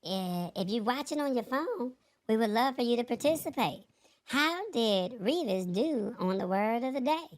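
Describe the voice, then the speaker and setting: Lively, high-pitched woman hosting a show. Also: moderate pace. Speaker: show host. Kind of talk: casual live-show chat.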